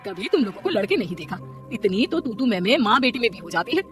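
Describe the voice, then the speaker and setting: A woman's voice: on the high side, big pitch swings, fast talker, music in the background. A woman voicing a gossipy, meddling neighbour in a story, prodding and insinuating.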